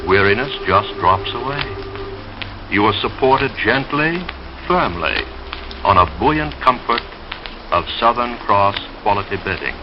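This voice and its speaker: A man speaking as a 1930s late-night radio announcer with a slow, soothing, drawn-out delivery. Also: lowish voice, background noise. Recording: dull vintage radio recording under music. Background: dreamy music.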